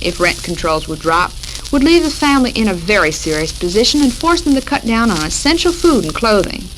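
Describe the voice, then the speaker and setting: An American woman hosting a 1940s radio program for housewives, reading a script in a measured way. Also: a little high. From United States. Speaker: radio show host. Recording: noisy, crackling 1940s radio recording.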